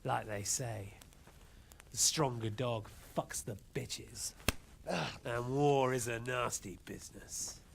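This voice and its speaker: An actor playing a smug, cruel warlord who taunts his captive in a theatrical, mock-polite, deliberate way, as in a video game cutscene. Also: mid-range voice, fairly even intonation, vocal fry, a little hiss or hum. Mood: gloating, menacing.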